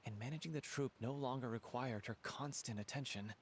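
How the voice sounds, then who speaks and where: A man's voice: medium pitch, fairly quick, a little hiss or hum, close to an everyday voice. Man acting an earnest, wistful young playwright. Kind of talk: video game story dialogue. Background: game music.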